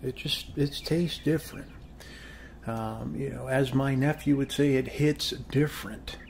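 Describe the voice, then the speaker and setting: Friendly suburban neighbor guy a man acting, American, soft and gravelly, meandering folksy ASMR chat, slight background noise. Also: medium pitch, a little slow. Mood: friendly rambling. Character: friendly, wholesome, rambling suburban male neighbor. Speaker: ASMR role-play performer. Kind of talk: ASMR role-play, rambling casual chat. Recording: faint steady background noise.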